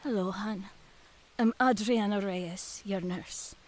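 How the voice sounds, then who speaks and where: A woman playing a kindly, reassuring nurse with a Spanish accent in an audio drama, speaking quietly and soothingly. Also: mid-range voice, takes it slowly, natural voice. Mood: friendly, polite. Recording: faint hiss, slightly noisy.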